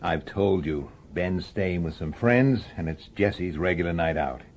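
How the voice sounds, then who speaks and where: An actor playing a blunt, world-weary police detective with an impatient manner, in an old radio drama. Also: male voice, deep voice, unhurried, slight background noise. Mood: businesslike. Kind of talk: old-time radio drama acting and narration.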